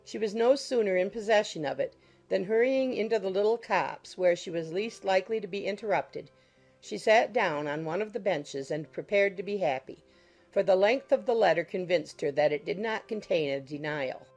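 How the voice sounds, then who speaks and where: A woman's voice: mid-range voice, normal speed, a little mellow, faint music behind. A woman narrating a classic novel as scripted literary audiobook narration.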